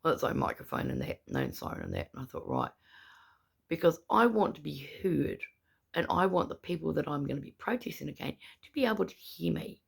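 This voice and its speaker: Kiwi protester ranting, no teeth in so all gummy, raspy voice, keeps repeating itself. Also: mid-range voice, huge pitch swings, fairly quick. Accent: New Zealand. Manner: indignant, repetitive, emphatic. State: gummy speech, no dentures in. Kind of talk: angry ranting monologue.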